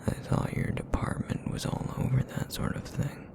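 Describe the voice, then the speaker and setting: A man voicing a broody, feral essence eater in an ASMR roleplay, with a raspy, rumbling murmur and a weary, guarded, reluctant manner. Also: natural voice. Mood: brooding. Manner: brooding, reluctant, slow and terse.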